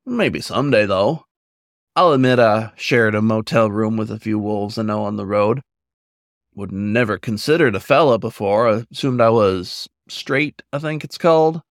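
A man's voice: medium pitch, no room sound. A man performs an older werewolf trucker from an audio story. He is frank and reflective, talking in rustic, folksy turns of phrase. The sound is close and dry. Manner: rustic folksy drawl wording.

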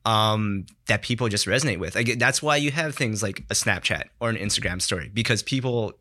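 A man's voice: on the low side, brisk, bright, forceful tone. A man chatting informally as a studio podcast guest, thinking aloud as he talks. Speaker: podcast guest, digital content creator. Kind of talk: casual chat.

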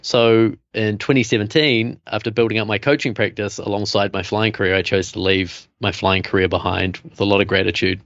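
A man in his thirties with a New Zealand accent, telling his story as a podcast guest in a relaxed way with many fillers. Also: on the low side, a little fast, hardly any pauses, dry recording, dull audio. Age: 30s. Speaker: guest, coach. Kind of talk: casual interview chat.

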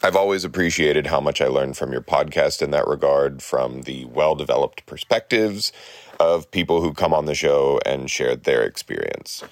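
Very deep-voiced man with a dry sound. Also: moderate pace, no room sound. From Southern California. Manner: earnest, steady, appreciative. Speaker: listener sending a message. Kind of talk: read message.

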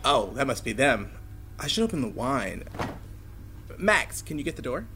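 Animated, awkward, affable dad. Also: male voice, mid-range voice, normal speed, a little hiss or hum. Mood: delighted, awkward. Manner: rambling, stammering, eager to smooth things over. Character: awkward, affable, well-meaning father figure of a teenage girl. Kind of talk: naturalistic audio drama acting.

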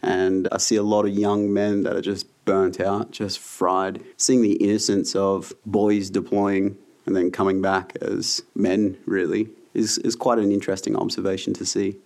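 Deep gravelly Australian man. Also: moderate pace, few pauses. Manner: relaxed, reflective storytelling. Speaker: guest, yoga teacher and veteran. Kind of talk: casual interview.

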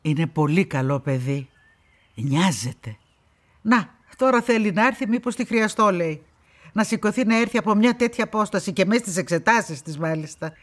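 A woman's voice: mid-range voice, expressive, close to an everyday voice. An older woman playing a stern, proud grandmother in a radio play, speaking in an emphatic, brusque and opinionated way. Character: stern, proud old grandmother who dotes on her granddaughter.

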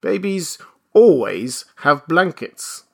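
Shrill, quavering, querulous old man. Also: very animated, slow, vocal fry, a bit bright, breathy edge, exaggerated voice. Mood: indignant. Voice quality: weak, quavering, shrill in protest. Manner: querulous, whining complaint. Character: querulous, whining elderly man who is a newborn.

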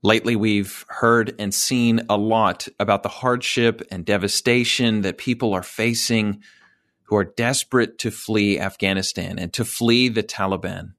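Male host, measured and earnest, lowish voice, dry close sound. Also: fairly even intonation, fairly bright tone, dry recording. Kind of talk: podcast interview hosting.

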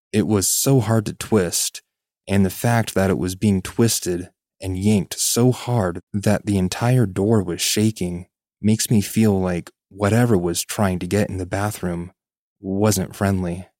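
Man, very low pitch, scripted spooky story narration, podcast narrator, dry close sound. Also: darkish tone, no echo.

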